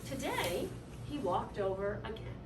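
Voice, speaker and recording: Echoey, animated woman reading a children's story. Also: a little high. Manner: animated, deliberate, dramatic emphasis. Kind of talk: expressive read-aloud of a children's picture book. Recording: echoey room, distant mic, clear noise.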